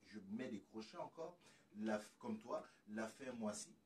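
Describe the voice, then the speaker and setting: A man hosting a casual chat, speaking haltingly and circling around his point. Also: fairly low pitch, darkish tone. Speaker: host. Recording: distant mic with noise.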